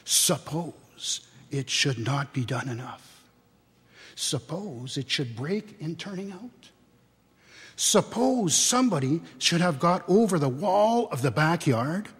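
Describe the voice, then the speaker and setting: A man reads a story aloud with theatrical, deliberate phrasing. His voice sits a little high and has a husky edge. The sound rings through a large church hall with long reverb. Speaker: reader at a dramatic reading. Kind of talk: dramatic storybook reading to a live audience. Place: large church, live public reading.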